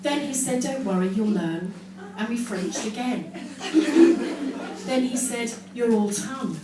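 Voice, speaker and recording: A woman performs a comic diary reading on stage in a fairly high voice, playing up the drama and adding amused asides. The recording is very reverberant and noisy, caught from some way off. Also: measured pace. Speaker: storyteller on stage. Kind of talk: live comic reading of own teenage diary to an audience. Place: live storytelling event venue with audience. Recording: very echoey, noisy live venue, distant mic.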